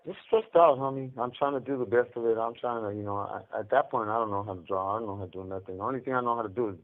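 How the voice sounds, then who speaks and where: Male inmate storyteller, mid-pitched, casual slangy talk with cursing, narrow muffled phone-call sound. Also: racing. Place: jail or prison phone line.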